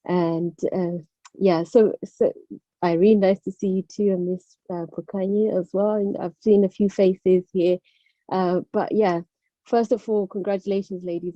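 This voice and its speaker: Young female guest speaker from Zimbabwe, very soft dark tone, lowish, casual, lo-fi sound. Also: no room sound. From born in Zimbabwe, moved to the UK aged about nine. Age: young adult. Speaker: guest speaker, former pageant contestant. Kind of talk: casual motivational talk. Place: online video call. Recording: dull, dry lo-fi call audio.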